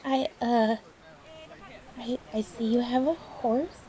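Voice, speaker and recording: Actress as nervous young female ship clerk, high mellow voice, stammering, timid, flustered, audio drama. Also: takes it slowly. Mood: nervous, helpful. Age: young adult.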